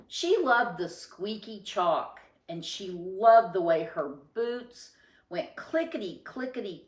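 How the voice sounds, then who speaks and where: A woman narrates a children's picture book in a fairly low voice. She takes her time, phrasing each line with deliberate emphasis and expression. The recording has a noticeable room echo. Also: far from the mic. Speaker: storybook reader. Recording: roomy, echoing sound.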